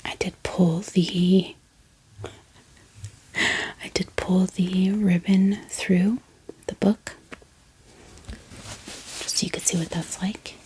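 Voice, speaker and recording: Slow whispering woman, soft ASMR delivery. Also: fairly low pitch, rough recording. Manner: gentle, unhurried, calming. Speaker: ASMR book vlogger. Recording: steady background hiss, heater hum, clicks. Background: book tapping, clicking, heater running.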